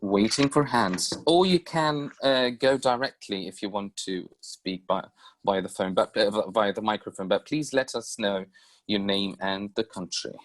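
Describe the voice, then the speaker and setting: A man moderating a video-call forum, speaking haltingly with many fillers and repeating his questions. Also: on the low side. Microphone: computer mic on video call.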